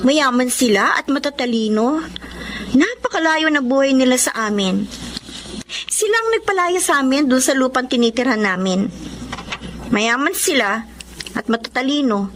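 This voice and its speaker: Radio drama role: young housemaid, high voice, emotive and complaining, hardworking yet resentful; noisy recording. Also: female voice, expressive, moderate pace, long pauses, fairly bright tone. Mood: guarded. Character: young woman domestic worker abroad, hardworking, bitter, resentful. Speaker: drama actor. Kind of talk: Tagalog radio drama acting.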